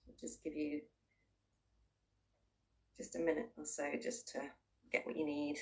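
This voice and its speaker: A woman with a low voice leads a guided meditation, sitting a little way from the microphone. She gives gentle, invitational instructions, leaving long pauses between unhurried phrases. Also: flat delivery, far from the mic. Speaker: mindfulness practitioner leading a guided body scan.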